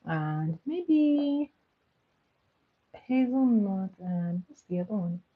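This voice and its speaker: A woman's voice: mid-range voice, sing-song, takes it slowly, breathy edge. A woman from eastern Nigeria with a Nigerian accent, whose first language is Igbo, chatting casually and animatedly with live viewers, with lots of filler words and laughter. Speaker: livestream host. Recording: thin phone-like audio.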